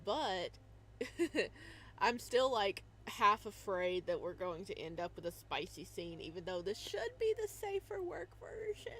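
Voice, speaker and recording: A woman's voice: mid-range voice, big pitch swings, unhurried, fairly bright tone, rough recording. A young woman hosting a casual live stream, chatting and laughing as she plays a visual novel and greets her viewers by name. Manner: bubbly, giggly, greets chatters by name, hums to herself.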